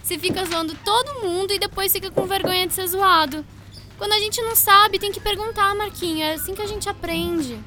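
Radio drama role: curious, scolding young schoolgirl with a high voice. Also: fairly quick, bright, forceful tone, faint music behind. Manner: inquisitive, scolding, colloquial. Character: Laura, bright, curious, bossy schoolgirl. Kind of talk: scripted radio drama acting.

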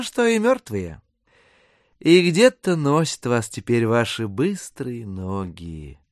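An actor playing a shrewd gentleman musing aloud in a theatrical audiobook monologue, drawling and mockingly familiar. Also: on the high side, exaggerated voice. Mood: amused, musing. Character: Chichikov, shrewd gentleman musing aloud over dead serfs.

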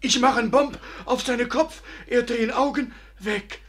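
A man's voice: very high voice, normal speed, fairly bright tone, slight background noise. An actor in a German radio play playing an eager, crude gangster henchman with a foreign accent and broken grammar. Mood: excited, menacing. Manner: broken, ungrammatical speech.

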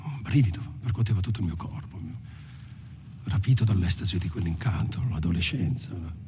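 An actor playing a reflective male lifer who recounts his past in a halting, literary, introspective monologue. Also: low-pitched, lively intonation, mellow, a little hiss or hum. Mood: melancholy, rapt. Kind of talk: film monologue told like a personal testimony.

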